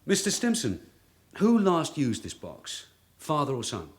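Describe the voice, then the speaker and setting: An actor playing a shrewd, hard-nosed long-serving police detective sergeant in a radio drama, matter-of-fact and dryly authoritative. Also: male voice, a little high, huge pitch swings, a little slow, a little hiss or hum. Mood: firm, official. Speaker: actor in the lead role.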